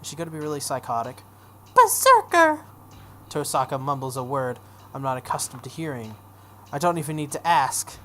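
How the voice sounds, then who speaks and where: A woman's voice: very deep voice, fairly even intonation, fast talker. A woman hosting a video game playthrough, reading a visual novel aloud expressively with offhand casual asides. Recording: some background noise.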